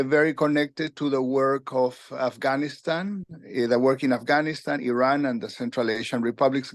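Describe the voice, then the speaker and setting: A man in his sixties from Peru, a retired UN official telling his career story in an interview, with halting speech full of fillers and restarts. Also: mid-range voice, dry recording, clean studio sound. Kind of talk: conversational interview.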